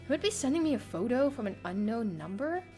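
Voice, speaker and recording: Expressive young woman narrating, lively pitch. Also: fast. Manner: expressive, theatrical. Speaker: streamer reading a visual novel aloud. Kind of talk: dramatic first-person story narration. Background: soft game music.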